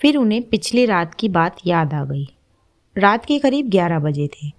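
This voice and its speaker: A woman's voice: lowish voice, sing-song, normal speed. Woman narrating a story.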